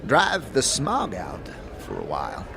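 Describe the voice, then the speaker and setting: Man acting a wheezy, rambling local with swooping pitch. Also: wildly swooping pitch, a little slow, vocal fry, background noise, exaggerated voice. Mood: weary, musing. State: coughing, short of breath. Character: wheezy, rambling male local with a bad cough. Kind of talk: fantasy audio game character acting. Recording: music under the voice.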